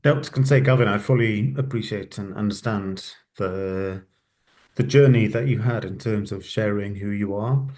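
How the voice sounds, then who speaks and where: A man's voice: on the low side, normal speed, darkish tone. A man from West Wales with a Welsh accent, speaking earnestly as a webinar panelist. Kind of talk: casual panel discussion.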